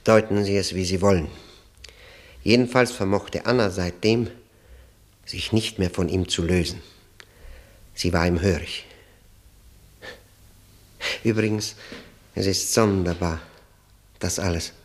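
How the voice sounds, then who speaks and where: An actor playing a grave, quietly insistent accuser in a radio play, measured, ironic and politely persistent. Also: male voice, sits low, steady tone. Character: grave, probing, quietly insistent accuser doubting the justice system. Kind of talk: German radio play acting, 1960.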